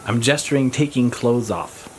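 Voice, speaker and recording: A man's voice: mid-range voice, moderate pace, lightly acted. An actor playing an awkward, helpful man in an audio drama, bashful and prone to rambling. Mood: flustered. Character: awkward, flustered, helpful man hosting alien visitors. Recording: loud music under the voice.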